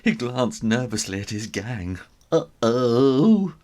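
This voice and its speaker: A man tells a children's story with great theatrical swings in pitch. His voice is creaky and husky, and he takes his time, pausing for drama. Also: wildly swooping pitch, a bit bright. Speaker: author reading own children's story.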